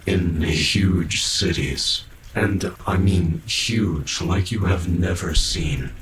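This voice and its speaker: A deep, slow-spoken cyborg guardian of great age, acted by a man, gentle and awkwardly kind. He picks his words with halting formality in an audio drama, over clearly audible noise. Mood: storytelling. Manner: halting, careful, softly formal. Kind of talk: fan audio drama acting.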